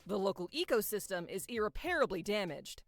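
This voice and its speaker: A woman playing a brisk, dramatic TV news anchor in a scripted game newscast. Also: medium pitch, big pitch swings, no room sound, faint music behind.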